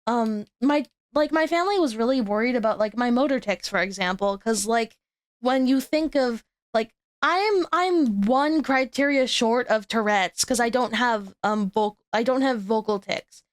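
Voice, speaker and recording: High-voiced stuttering young woman streamer. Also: normal speed, no room sound. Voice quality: stutters, with frequent repeats and restarts. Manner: rambling, laughs at herself. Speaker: VTuber streamer hosting a guest. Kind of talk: casual chat.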